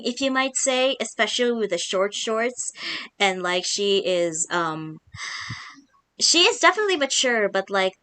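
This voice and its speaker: A woman's voice: mid-range voice, sharp tone. A woman with a Filipino accent hosting a casual chat, chatty and laughing often. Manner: giggly, chatty. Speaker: host.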